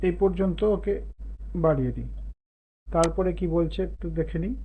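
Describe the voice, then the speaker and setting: A man's voice: a little high, normal speed, dark tone, slight background noise, poor audio. A man instructing in a casual step-by-step tutorial, explaining as he works in short, piecemeal phrases. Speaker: cartoon animation tutorial instructor.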